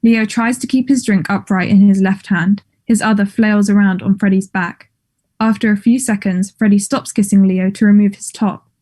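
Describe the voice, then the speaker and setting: Woman, stage directions narrator, soft dark tone, measured, neutral, scripted. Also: medium pitch, steady tone.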